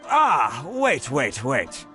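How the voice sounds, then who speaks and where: A man playing a genial middle-aged government commissioner in a video game, speaking with courteous, grandiose flattery. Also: medium pitch, extremely slow, full character voice. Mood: hurried, welcoming. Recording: faint game music behind the voice.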